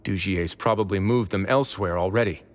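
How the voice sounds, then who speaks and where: A man playing a composed, self-assured leader in a video game, speaking in a calm, measured, matter-of-fact way. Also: low-pitched, muffled recording, natural voice. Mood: calm, focused. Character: composed, self-assured man in authority, cool-headed fighter.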